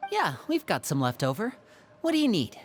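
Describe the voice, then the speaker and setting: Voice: mid-range voice, wildly swooping pitch, normal speed, a little hiss or hum. A voice actor playing a confident young magician in a video game, a protective older brother who shifts from teasing to firm questioning. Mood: friendly. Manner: smooth, teasing, turns firm and probing. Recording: game dialogue over soft music.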